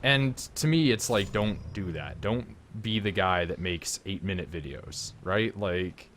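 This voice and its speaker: A Canadian man with a slightly low-pitched voice, hosting a live game stream with friends. He talks in a loose, animated way, full of "like" and "you know", and airs his opinions freely. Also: measured pace, slight background noise. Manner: opinionated, animated ranting with lots of fillers. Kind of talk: casual chat while playing.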